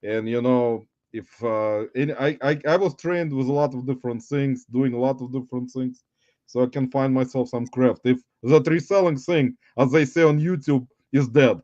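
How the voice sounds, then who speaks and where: A man's voice: medium pitch, a little mellow, no echo, lo-fi sound. Russian-accented man in his forties, chatty. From Uzbekistan. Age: 40s. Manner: rambling, halting, addresses viewers as guys. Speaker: livestream host. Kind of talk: casual livestream chat.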